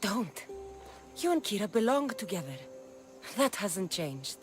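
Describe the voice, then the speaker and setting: Casting: battle-hardened female Greek mercenary, game role, dry wit, widely varied pitch, score underneath. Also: sing-song, a little slow. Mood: gentle, earnest. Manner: dry, guarded, matter-of-fact. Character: tough, wry female Greek mercenary warrior. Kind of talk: video game voice acting. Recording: background music under the voice.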